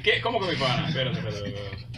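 A man's voice: mid-range voice, very animated, rapid-fire, recorded from a distance, over a noisy crowd. A Dominican man talking as a musician guest in a casual radio interview, colloquial and emphatic, repeating phrases for stress. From Dominican Republic. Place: small radio studio.

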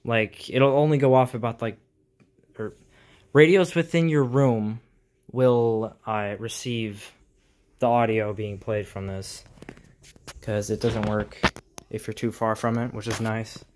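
Casual man explaining tech, halting delivery. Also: lowish voice, fairly even intonation, darkish tone, a little hiss or hum. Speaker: hobbyist video host. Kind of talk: casual tech tutorial vlog. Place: small indoor room at home.

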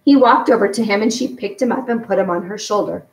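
A woman's voice: mid-range voice, fast talker. A middle-aged woman reading a children's novel aloud as a storyteller. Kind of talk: read-aloud narration of a children's book. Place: home garage, indoors. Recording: echoey room, distant mic.

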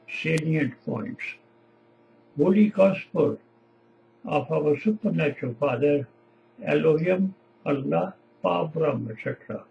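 A man in his seventies, a native Punjabi speaker with a Punjabi accent, preaching informally to camera in halting, emphatic short phrases. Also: fairly high pitch, creaky, darkish tone, highs cut off, a little hiss or hum. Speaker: lay preacher. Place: small indoor home office.

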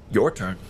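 An actor playing a grave, principled vigilante mentor in an audio drama, speaking in a measured, solemn way. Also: male voice, slight background noise. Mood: alert, direct.

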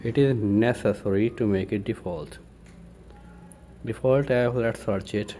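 A man presenting an informal step-by-step tech tutorial in a halting way, thinking aloud as he works. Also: lowish voice, faint music behind. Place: indoors at a computer desk. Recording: steady room noise.

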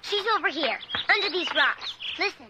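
A woman's voice: very high voice, animated, normal speed, heavy background noise. A woman playing an earnest, stubborn young American girl in an audio drama, insistent and pleading. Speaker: actor and narrator in an audio drama. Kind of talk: dramatic radio-play acting.

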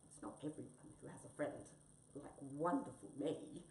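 A woman's voice: a bass voice, moderate pace, vocal fry, a little mellow, voice pushed down. Tabby tomcat, acted by a woman: proud, conceited, easily offended, grand theatrical huff, roomy recording with faint noise. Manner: haughty, huffy, theatrical. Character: proud, conceited, indignant male tabby cat.

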